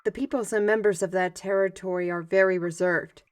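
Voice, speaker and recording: A woman playing a young, learned squire in an audio roleplay, explaining things in an animated, chatty manner. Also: medium pitch, fairly quick, lightly acted. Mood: explanatory.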